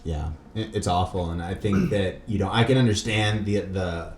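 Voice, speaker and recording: Low-voiced man in an echoey room. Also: normal speed. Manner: informal, rambling, questioning. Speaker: podcast host. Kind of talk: casual conversational interview talk. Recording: roomy echo with faint background noise.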